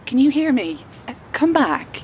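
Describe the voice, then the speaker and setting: A woman's voice: high voice, big pitch swings, unhurried. Muffled intercom, insistent woman. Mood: urgent, insistent. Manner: formal, insistent. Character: urgent, polite, pleading woman on a gate intercom. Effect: intercom filter. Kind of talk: audio horror drama dialogue. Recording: muffled, noisy intercom speaker.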